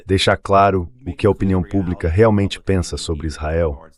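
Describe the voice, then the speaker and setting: Deep-voiced man giving political commentary. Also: moderate pace. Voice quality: synthetic dubbed voice.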